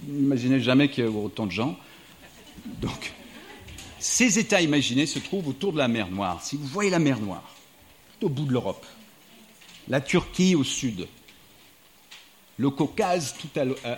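A man's voice: medium pitch, a little hiss or hum. A middle-aged man from the United States, a diplomat and writer, speaking thoughtfully to a live audience in an evening talk. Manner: reflective, thinking aloud.

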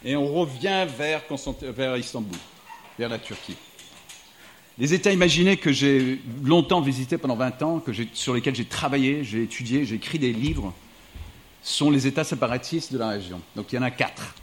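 A man's voice: mid-range voice, normal speed. A middle-aged man from the United States, a diplomat and writer, speaking thoughtfully to a live audience in an evening talk. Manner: reflective, thinking aloud.

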